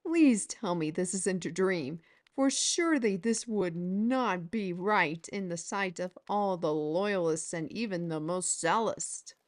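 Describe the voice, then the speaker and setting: Eine Frau als neckende Heldin Vinchi. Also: female voice, lowish voice, takes it slowly. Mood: incredulous. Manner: playful, teasing, persuasive. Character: Vinchi, bold teasing woman artisan steward. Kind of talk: fantasy audio drama.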